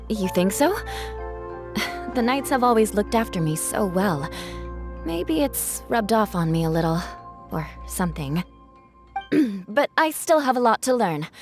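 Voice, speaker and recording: Young female knight, mid-pitched, polite, measured, formal, video game, music behind. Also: a little mellow. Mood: modest, grateful. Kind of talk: video game character voice acting.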